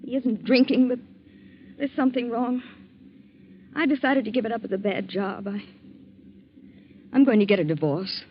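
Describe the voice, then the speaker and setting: An actress playing an anxious, pleading wife with earnest, theatrical protests in 1940s radio drama style. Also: female voice, a little high, normal speed, pauses often, lightly acted. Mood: weary, disgusted. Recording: dull, noisy 1940s radio recording.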